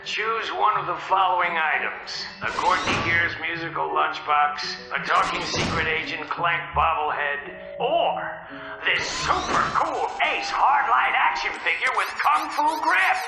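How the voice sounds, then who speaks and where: Echoey, high-voiced officious tester. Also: male voice, a little slow, gravelly. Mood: officious, coaxing. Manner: formal, stilted salesmanlike. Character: officious focus-group tester pushing products on children. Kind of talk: cartoonish video game acting. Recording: echoey room, music behind.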